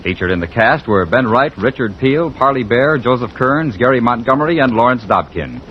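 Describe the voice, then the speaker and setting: A man's voice: mid-range voice, expressive, brisk, a little hiss or hum. A man announcing formally and with polish in the style of 1950s radio. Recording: dull old radio recording. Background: music.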